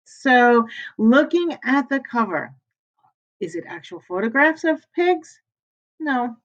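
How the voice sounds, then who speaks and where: Animated woman teacher reading a storybook. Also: a little high, slow pace, highs cut off. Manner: asks questions and answers them. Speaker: teacher reading aloud to children. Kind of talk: storybook read-aloud with teaching asides.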